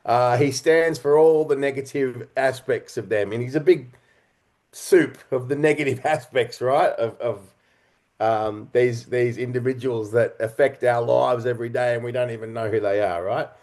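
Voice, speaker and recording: Man, middle-aged, mid-pitched voice, interview storytelling, thoughtful pauses, earnest phrasing. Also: steady tone, darkish tone. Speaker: interview guest, writer, director and performer.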